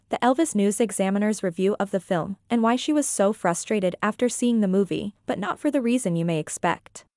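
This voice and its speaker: A woman's voice: medium pitch, no echo. A woman reviewer reading her scripted narration in an earnest, opinionated way into a close mic. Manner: earnest, opinionated, even read-out delivery. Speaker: reviewer and author.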